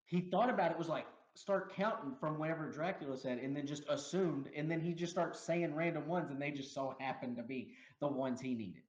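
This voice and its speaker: A man co-hosting a casual movie review podcast, animated and laughing often as he acts out lines from the film. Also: a little high, a little fast, hardly any pauses, lo-fi sound. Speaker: podcast co-host.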